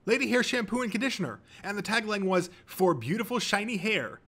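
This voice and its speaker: A man voicing an excitable, indignant teenage boy hero fanboy in an audiobook, gushing with emphatic intensity. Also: high-pitched, a little fast, voice pushed up, exaggerated voice. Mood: intense, triumphant.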